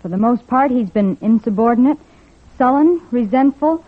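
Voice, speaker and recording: An actress playing an earnest, anguished young welfare officer in an old-time radio drama, pleading and emotional. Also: female voice, on the high side, animated, normal speed, dry recording. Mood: earnest, confessing. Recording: muffled, narrow-band vintage radio recording.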